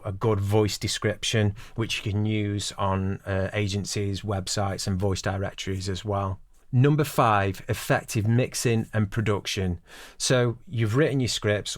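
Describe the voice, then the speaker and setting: A man's voice: on the low side, no room sound, studio quality. A man in his forties giving instructional advice as a voice-over producer, explaining things directly to the listener. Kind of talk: instructional talk to camera.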